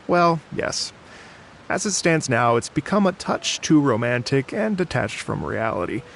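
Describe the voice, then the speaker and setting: An actor playing an earnest, tired middle-aged bard in a video game, telling a legend in a measured storytelling manner. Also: male voice, medium pitch, sing-song, creaky, a bit bright, a little hiss or hum, exaggerated voice. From Fontaine.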